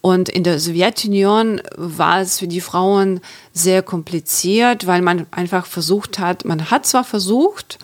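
Woman in her thirties, lowish voice. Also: unhurried. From Ukraine. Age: 30s. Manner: thoughtful, with frequent hesitations and restarts. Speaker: guest. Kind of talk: conversational interview.

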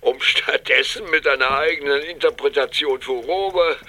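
An actor playing a self-important, demanding professor in a radio play, speaking imperiously. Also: male voice, fairly high pitch, moderate pace, pushed and bright. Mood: indignant. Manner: pompous, imperious.

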